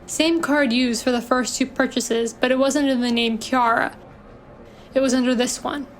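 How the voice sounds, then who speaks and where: A woman's voice: high-pitched, fairly even intonation, moderate pace, natural voice. An actress playing a matter-of-fact police investigative assistant reporting her findings in an audio drama.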